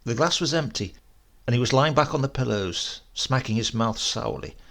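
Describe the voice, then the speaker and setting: A man narrating a literary short story in a measured, descriptive manner. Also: mid-range voice, gravelly, fairly bright tone. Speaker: audiobook narrator.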